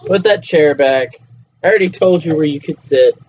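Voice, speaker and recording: A young man hosts a casual home podcast, joking with his friend and often breaking into laughter or sudden shouts. His voice sits a little high. The recording is dull and lo-fi, with a faint hiss underneath. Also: normal speed. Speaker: podcast host. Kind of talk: casual chat.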